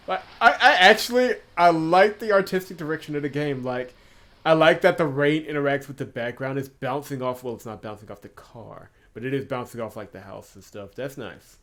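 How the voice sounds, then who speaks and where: A man's voice: mid-range voice, fairly quick, fairly bright tone. A man giving casual commentary as he plays through a game as host. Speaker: gaming video host. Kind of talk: casual game commentary and read-aloud of visual novel dialogue.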